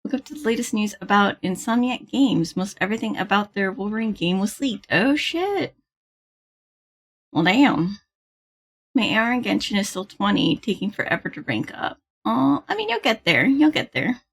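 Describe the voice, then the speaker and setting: Woman game streamer, casual and giggly, mid-pitched with a raspy, creaky edge. Informal live chat. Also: very animated, moderate pace. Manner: bubbly, giggly, chatty greetings. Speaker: livestreamer hosting a gaming stream.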